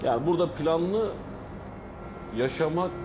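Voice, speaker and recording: A man from a ship's crew, calmly explaining the daily routine in a matter-of-fact interview answer. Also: on the high side, a little slow, heavy background noise. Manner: matter-of-fact, lists things plainly. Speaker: ship crew member. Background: background music.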